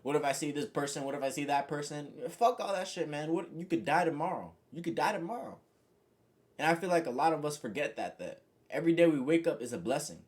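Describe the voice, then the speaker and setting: A young man talking casually and reflectively to his viewers, rambling with lots of "you know" and "like", in a voice he calls monotone. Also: fairly high pitch, fast. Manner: says he has a monotone voice. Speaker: vlogger. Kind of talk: casual reflective monologue to camera.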